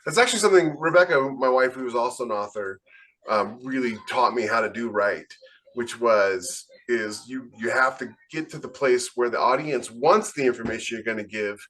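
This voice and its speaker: A man's voice: mid-range voice, moderate pace, a bit bright. A man talking casually as an author on a podcast panel, explaining his points conversationally.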